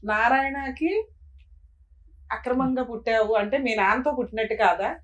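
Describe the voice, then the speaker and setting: A woman's voice: fairly high pitch, moderate pace. A woman speaking as a panel host, asking insistent, probing questions in unscripted talk.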